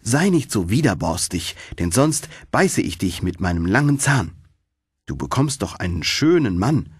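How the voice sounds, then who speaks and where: A man reads a bossy old female field mouse from a storybook. The voice is husky and lowish, and it scolds and nags sternly. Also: sing-song, normal speed, no echo, studio quality, heavily acted. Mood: scolding. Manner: stern, nagging.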